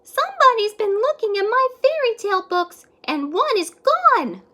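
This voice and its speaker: A woman's voice: very high-pitched, sing-song, normal speed, bright, forceful tone, pitched above the performer's own voice, exaggerated voice. A woman voicing an upset little baby bear in a children's storybook. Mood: upset, plaintive. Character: squeaky little baby bear, upset about missing books.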